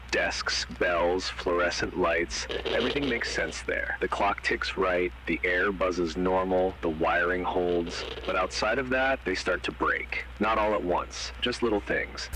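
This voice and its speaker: A man's voice: low voice, slight background noise, poor audio. A man reading as a grim emergency broadcast announcer. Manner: flat, ominous, commanding warnings. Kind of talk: analog horror warning broadcast.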